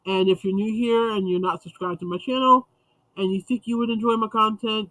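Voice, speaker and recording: Congested, chatty high-voiced man. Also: sharp tone, dry recording, rough recording. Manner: rambling, chatty, with frequent restarts. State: congested, sniffly. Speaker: livestream host. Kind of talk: casual livestream chat.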